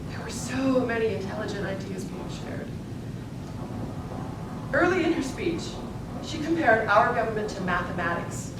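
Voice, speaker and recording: A woman playing an earnest, determined young newspaper reporter of the suffrage era in a staged reading, speaking deliberately and emphatically. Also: lightly acted. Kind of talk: live staged play reading. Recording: echoey hall from far off, noisy rumble.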